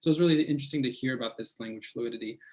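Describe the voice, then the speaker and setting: A man's voice: mid-range voice, a little mellow. Thoughtful man from Texas. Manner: thoughtful, measured, with fillers. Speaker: participant. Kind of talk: casual online discussion.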